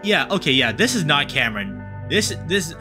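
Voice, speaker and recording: An expressive man gives casual commentary as host of a game playthrough. His voice is bright and forceful, with very lively pitch. Music plays behind him. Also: normal speed. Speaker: host of a visual novel playthrough. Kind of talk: dramatic read-aloud with casual commentary.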